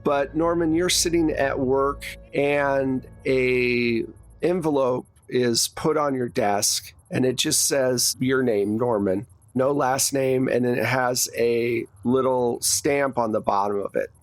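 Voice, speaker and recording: Male game master, unhurried delivery, conversational and explanatory narration of a tabletop game, light music underneath. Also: medium pitch, fairly even intonation, dry recording.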